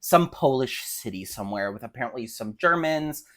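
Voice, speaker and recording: A young American woman with a strikingly deep voice for a woman. She co-hosts a podcast in loose, unscripted chat, talking in a slangy, flippant way that never gets formal. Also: lively intonation, moderate pace, fairly bright tone. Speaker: podcast co-host.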